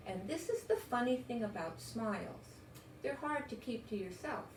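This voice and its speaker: An older woman reading a children's story aloud in a gentle, expressive, encouraging way. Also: on the high side, normal speed. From United States. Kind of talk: read-aloud children's story with chatty asides. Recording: roomy echo, distant mic, faint noise.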